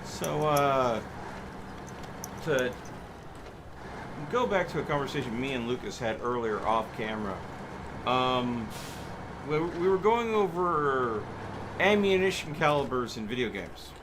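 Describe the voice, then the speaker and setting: A man hosting a gaming livestream, explaining things in a measured, diplomatic way. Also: medium pitch, lively intonation. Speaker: livestream host. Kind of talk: gaming livestream chat with co-players. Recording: clear background vehicle game noise.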